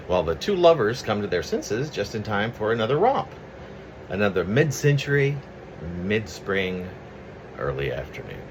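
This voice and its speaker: A man speaking as a poet sharing his own work, in a rambling, halting, conversational manner. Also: sits low, background noise. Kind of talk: casual preamble and poetry reading.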